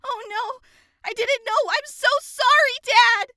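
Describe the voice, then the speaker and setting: A woman's voice: extremely high pitch, takes it slowly, bright, forceful tone, exaggerated voice. A woman playing an innocent, apologetic little girl in an audio drama, with a childlike, pleading way of talking. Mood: distressed, apologetic.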